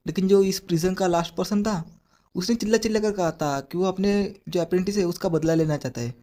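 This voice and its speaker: A hoarse man retelling a comic story in a rapid, chatty way, dropping in English words. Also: high voice, dark tone. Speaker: manhwa recap narrator. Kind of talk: casual storytelling recap.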